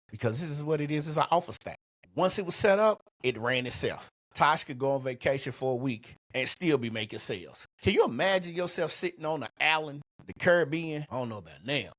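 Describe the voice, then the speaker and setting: A middle-aged man with a husky voice hosting a motivational business podcast, speaking in a colloquial, emphatic, punchy way on a close microphone. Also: fairly high pitch, no echo. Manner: colloquial, emphatic, punchy delivery with rhetorical questions. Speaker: podcast host and coach.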